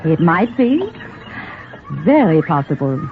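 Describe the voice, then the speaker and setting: An actress playing a guarded Parisian nightclub singer turned double agent in a 1950s radio drama, deliberate and coaxing. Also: female voice, lowish voice, sing-song. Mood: composed, confiding. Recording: narrow, tinny 1950 radio recording, music behind.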